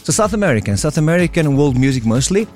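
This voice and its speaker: A man's voice: fairly high pitch, sing-song, fast talker, darkish tone, faint music behind. A man from Angola and Portugal giving friendly, agreeable answers as a musician guest in a casual radio interview.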